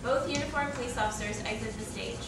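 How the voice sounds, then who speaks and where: A woman's voice: a little high, quick pace. A woman reading stage directions as scripted narration for a staged play. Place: large echoey room, live staged reading. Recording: very echoey room, distant mic, clear noise.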